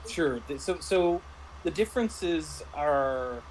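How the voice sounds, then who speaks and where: Higher-voiced man explaining over noise. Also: lively intonation, slow pace, rough recording. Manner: explanatory, restarts and rephrases in mid-thought. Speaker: game master. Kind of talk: casual review discussion.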